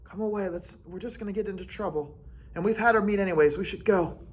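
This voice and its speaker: A timid boy who murmurs nervous warnings and wants to slip away from trouble, with a man putting him on. His voice is soft and quick from a storybook reading. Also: high-pitched, husky. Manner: hushed, nervous. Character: timid, cautious boy urging retreat.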